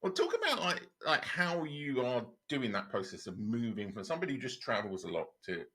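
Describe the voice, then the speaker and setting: A man's voice: mid-range voice, big pitch swings, fairly quick, sharp tone, husky. A man from Europe hosting a casual interview, asking long rambling questions with many restarts. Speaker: host.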